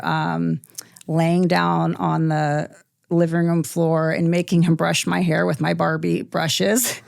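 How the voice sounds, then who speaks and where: A middle-aged woman, a company president, telling stories from her childhood in a relaxed, thoughtful interview with many pauses. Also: fairly low pitch, creaky, dry recording, studio quality. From born in Iceland, military family. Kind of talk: podcast interview, reflective storytelling.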